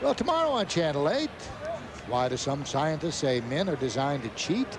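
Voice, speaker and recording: An American man with a somewhat high-pitched voice talks as a baseball co-commentator. His delivery is conversational and a little wry. Distinct outdoor ballpark noise runs behind him. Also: very animated, moderate pace. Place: open-air ballpark broadcast booth. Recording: noisy outdoor ballpark broadcast.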